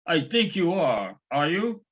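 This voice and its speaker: A man's voice: a little high, sing-song, takes it slowly, a bit bright, slightly rough, pitched above the performer's own voice, heavily acted. A man voicing a stern German woman hotel manager who talks in a clipped, vaguely menacing way. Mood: cold, clipped. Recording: dull lo-fi recording.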